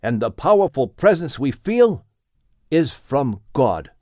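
A man voicing a devout old father and patriarch in an audiobook story, speaking in a measured, weighty, reverent way. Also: mid-range voice, very animated, a little mellow, no room sound, clean studio sound. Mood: solemn awe.

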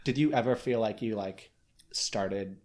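A man's voice: on the low side, a little slow, a little mellow. A man from Michigan with a Yooper accent talks as a guest in a casual interview. He is earnest and reflective, circling back and restarting his thoughts as he goes. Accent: Michigan Upper Peninsula.

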